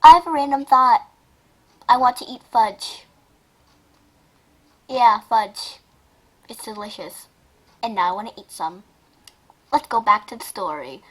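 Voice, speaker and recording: A woman's voice: on the high side, a little slow, long pauses, poor audio. A woman casually reading fan fiction aloud and reacting to it, with a giggly, exclamatory manner and frequent asides. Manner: giggly, exclamatory, often breaking off mid-sentence to comment.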